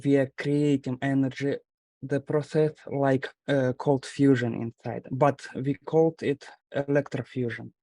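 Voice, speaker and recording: Man with Kazakh accent, halting English. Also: mid-range voice, flat delivery, dark tone, no room sound. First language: not English. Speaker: startup founder. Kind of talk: informal startup pitch Q&A.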